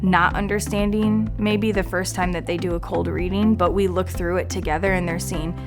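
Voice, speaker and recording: A woman's voice: on the low side, steady tone, normal speed. A woman teacher speaking in a testimonial interview. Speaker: classroom teacher. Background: background music.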